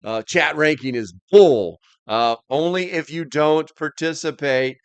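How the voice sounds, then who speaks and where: A man's voice: fairly high pitch, unhurried, smooth, no echo. A man hosting a live stream, chatting casually as he reads and answers comments in a rambling, plain-spoken, slightly grumbly way.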